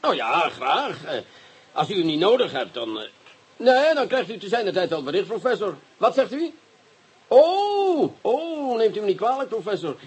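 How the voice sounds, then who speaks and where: Cranky middle-aged police commissioner, husky, voice jumps way up and down, radio play. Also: male voice, high-pitched, very animated, normal speed, exaggerated voice. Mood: flustered, apologetic. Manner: gruff, impatient, sarcastic. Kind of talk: radio drama acting.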